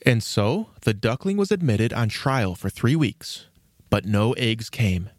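A man's voice: fairly low pitch, sing-song, unhurried, dry recording, studio quality. A man reading scripted storybook narration as the narrator of a fairy tale.

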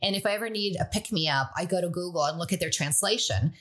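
A woman's voice: lowish voice, fast talker, a bit bright. A woman whose first language is Croatian, hosting an interview and asking curious follow-up questions. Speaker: podcast host.

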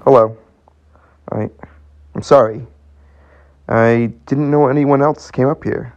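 A man's voice: mid-range voice, steady tone, slow, a little mellow, no room sound, muffled recording. A man in a radio play acting as a hesitant, earnest and bewildered man of twenty-six.

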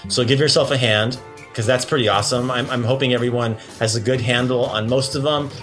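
A man's voice: mid-range voice, steady tone, a little fast, fairly bright tone, faint music behind. A man hosting a podcast language lesson in a casual, playful and encouraging way. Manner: encouraging, praising the learner often.

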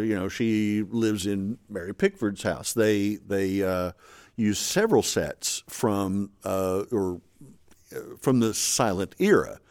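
A man's voice: on the low side, darkish tone. A man hosting a film podcast, talking at length about film history in a hesitant way, with lots of fillers and restarts. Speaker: podcast host. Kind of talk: casual film discussion.

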